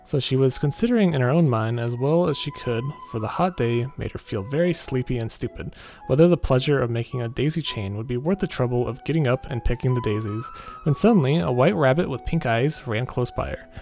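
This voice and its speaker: Male gaming host, medium pitch, informal read-aloud with off-the-cuff comments and small stumbles, clear music bed. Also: expressive, a little fast, darkish tone. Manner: informal, stumbles over text and rereads. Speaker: gaming video host reading a visual novel aloud. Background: background music.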